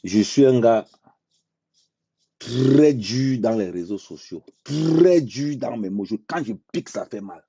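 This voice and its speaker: A man speaking bluntly in an unscripted live monologue, repeating phrases for emphasis and talking slowly and deliberately. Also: medium pitch, animated, warm tone, husky, no room sound. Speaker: social media commentator. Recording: dull lo-fi dry sound.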